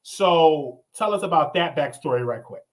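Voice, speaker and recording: A man's voice: on the high side, animated, moderate pace. A man hosting a live online interview show in a casual, conversational style. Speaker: show host.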